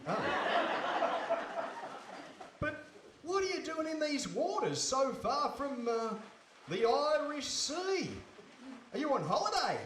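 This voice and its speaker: An echoey recording of a bemused fisherman played by a man in a comic story. The voice is high and swoopy yet slow, full of grumbling disbelief and working-man exasperation. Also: sing-song, heavily acted. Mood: incredulous. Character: poor, sceptical, easily exasperated married fisherman. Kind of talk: comic audio story acting. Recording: roomy echo, faint noise.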